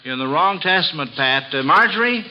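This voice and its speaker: A man's voice: on the high side, lively intonation, a little fast, slight background noise. A man hosting a 1940s radio quiz for children, genial and hesitant with frequent uhs. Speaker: quiz show host. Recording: dull lo-fi old radio recording.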